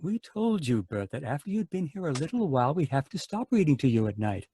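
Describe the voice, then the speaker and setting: A man playing a calm asylum superintendent who talks soothingly and firmly to a patient. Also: on the high side, brisk, slight background noise. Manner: soothing, firm.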